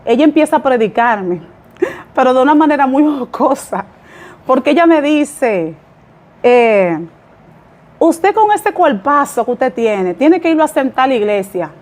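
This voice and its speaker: A woman's voice: high voice, big pitch swings, measured pace. A woman pastor from the Dominican Republic, speaking with a Dominican accent as she tells her life story in a podcast interview, humble and chatty with small laughs. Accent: Dominican Spanish. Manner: warm, humble storytelling with light laughs and fillers. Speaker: pastor and guest.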